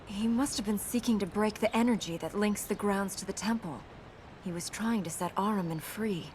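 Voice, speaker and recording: A woman playing a solemn, resolute young princess in a video game, speaking in an earnest, measured, matter-of-fact way. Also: a little mellow, close to an everyday voice. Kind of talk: natural video game character acting. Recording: game capture with rumbling background noise.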